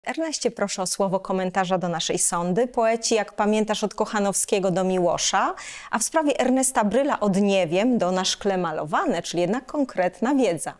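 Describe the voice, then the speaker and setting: A woman's voice: medium pitch, expressive, normal speed, a little mellow. A woman in her forties hosting a conversational studio interview. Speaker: host. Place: furnished indoor studio lounge with armchairs.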